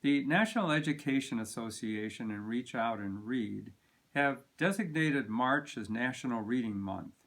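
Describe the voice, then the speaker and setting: A man reading a children's story aloud as a volunteer, in an expressive, deliberate manner for young listeners. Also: mid-range voice, creaky.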